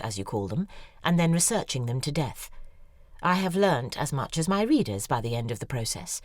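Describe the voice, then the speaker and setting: Dry sardonic lady novelist narrating, low voice, swoops around a lot. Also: no echo. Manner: sardonic, weary. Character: acerbic, weary female novelist. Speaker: narrator and lead character, a novelist. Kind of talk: scripted first-person audio drama narration.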